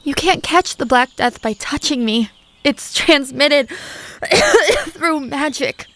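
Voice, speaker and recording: A woman's voice: high-pitched, big pitch swings, slow pace, fairly bright tone, heavily acted. A woman playing a sensible, put-upon young courtier in a comic stage-style audio drama, matter-of-fact and reproachful. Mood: matter-of-fact, defensive.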